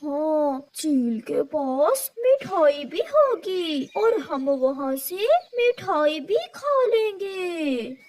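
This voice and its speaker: Woman doing a high, slow-talking haughty cartoon owl. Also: very animated, darkish tone, exaggerated voice. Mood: scheming. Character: male owl, haughty, sweet-loving cartoon bird.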